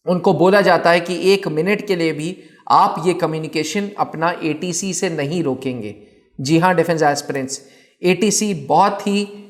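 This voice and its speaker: A man presenting an educational explainer as an instructor, addressing students with emphatic, deliberate phrasing and repeating words for stress. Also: a little high. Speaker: instructor presenting a defence exam channel.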